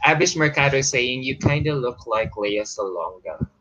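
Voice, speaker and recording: Husky-voiced male interview host. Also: medium pitch, animated, normal speed, a bit bright, highs cut off. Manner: hesitant, restarts often, laughs readily. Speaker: show host. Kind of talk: live-streamed interview.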